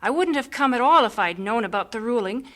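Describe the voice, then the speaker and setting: A spirited young missionary woman, played by an actress, speaks her mind in an earnest, frank way. Her voice sits a little high, in a radio drama. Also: lively intonation, fairly quick, lightly acted. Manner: earnest, outspoken.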